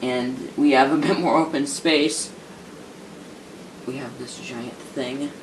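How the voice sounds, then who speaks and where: A man's voice: medium pitch, steady tone, takes it slowly. A man talking casually while he restores an old radio, thinking aloud and making chatty asides as he works. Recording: noisy workshop recording.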